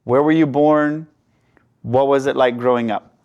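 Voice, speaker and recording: A man's voice: medium pitch, steady tone, measured pace, darkish tone, smooth, muffled recording. Man asking friendly follow-up questions. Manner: prompting questions, checks and rephrases the guest's words. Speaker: podcast host. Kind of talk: casual interview.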